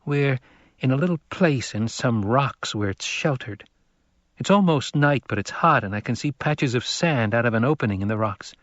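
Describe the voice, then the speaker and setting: Man voicing an earnest boy, pitched up. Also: expressive, normal speed, fairly bright tone, no room sound, muffled recording. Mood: earnest, dreamy. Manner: earnest, dreamy, raised lighter voice. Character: earnest, guarded teenage boy recounting strange dreams.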